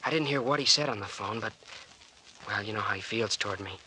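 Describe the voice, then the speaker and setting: A man's voice: fairly low pitch, fast, pushed and bright, poor audio. An actor playing an earnest, protective young man in a 1940s radio drama, with boyish phrasing. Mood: worried. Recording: hissy old-time radio recording.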